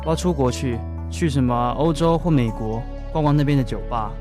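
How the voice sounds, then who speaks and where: A man's voice: medium pitch, fairly quick, music in the background, natural voice. A man acting as a resigned, wounded bartender in a modern urban radio drama. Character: resigned, wounded male bartender about to close up. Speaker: actor.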